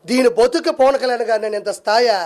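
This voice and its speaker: A man delivering a mocking political rant in a scornful, insulting, forceful manner. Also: very high-pitched, steady tone, fast, soft background music.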